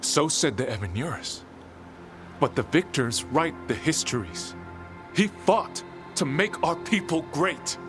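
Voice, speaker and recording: An actor voicing a solemn, devoted elven zealot in a video game, speaking in a grave, formal and fervent way. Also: male voice, on the high side, sing-song, measured pace. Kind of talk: dramatic video game voice acting. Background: music.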